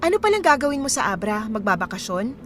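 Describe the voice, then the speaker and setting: A kind, higher-voiced young Filipina. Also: female voice, fast talker, a little hiss or hum. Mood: curious, chatty. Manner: casual, expressive reactions. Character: kind, curious young woman traveling home. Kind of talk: radio drama dialogue.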